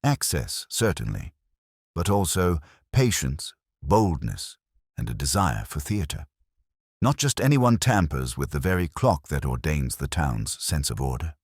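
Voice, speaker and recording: A man playing a calm, shrewd police inspector in a mystery story, speaking in a measured, formal, cryptic way. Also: very deep voice, husky, dry recording, clean studio sound, exaggerated voice. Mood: grave, knowing.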